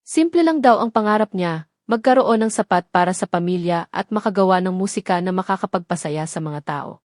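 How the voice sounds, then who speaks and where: A woman's voice: on the low side, fairly quick, darkish tone, no echo, studio quality. A woman with a Filipino accent reading a scripted story as its narrator. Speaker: narrator of audio drama stories.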